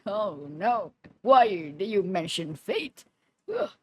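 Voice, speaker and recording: A woman giving casual live reaction commentary as a streamer, haltingly, often repeating words and phrases. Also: medium pitch, sing-song, a little hiss or hum. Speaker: streamer reacting to game trailers.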